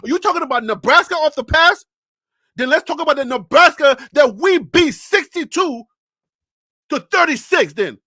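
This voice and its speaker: A sports show host from North Carolina on a live stream, firing off incredulous rhetorical questions and laughing between points. The voice is high and bright. The sound is close and dry, with no room around it. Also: animated, normal speed, dry recording.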